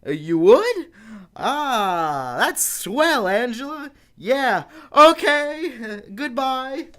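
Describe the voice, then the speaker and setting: A woman's voice: high voice, very animated, very slow, a bit bright, heavily acted. A woman playing an earnest, nervous teenage son in a live old-time radio comedy, hesitant and stammering. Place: radio studio, live performance.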